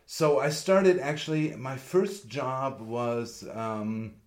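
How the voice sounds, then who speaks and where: A man's voice: medium pitch, slow pace, a little mellow. A man, a photographer guest, chatting casually and self-deprecatingly in an interview. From Germany and Austria.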